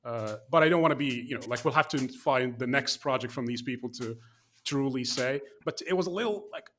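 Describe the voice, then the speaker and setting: A man co-hosting a casual video game chat show. His voice sits at an ordinary middle pitch. He talks in rambling, opinionated bursts, circling back through fillers and restarts. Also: brisk, fairly bright tone, a little hiss or hum. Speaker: podcast co-host.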